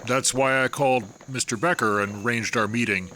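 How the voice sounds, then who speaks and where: An actor playing a formal, measured doctor meeting a colleague. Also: male voice, mid-range voice, steady tone, bright, forceful tone, slight background noise, close to an everyday voice, low-quality recording. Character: measured scientist doctor, discreet.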